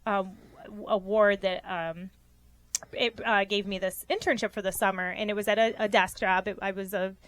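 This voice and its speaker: A woman's voice: medium pitch, fairly even intonation, moderate pace. A young woman from Rochester, New York, a physical therapist guest giving earnest interview answers with frequent ums.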